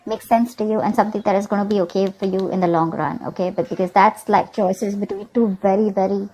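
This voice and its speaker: A woman's voice: medium pitch, steady tone, fast talker, warm tone, slight background noise, low-quality recording. A woman giving an improvised tarot reading, speaking conversationally to the viewer and often checking in with little tag questions. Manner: conversational, often checking in with okay and you know. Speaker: tarot reader and channel host.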